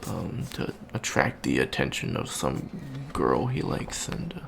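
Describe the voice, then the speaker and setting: A man playing an awkward, bookish young alien college student in an ASMR roleplay, talking softly and hesitantly with many ums and stammers. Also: deep voice, sing-song, slow, gravelly, slight background noise, heavily acted. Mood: awkward, earnest. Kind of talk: soft-spoken ASMR roleplay.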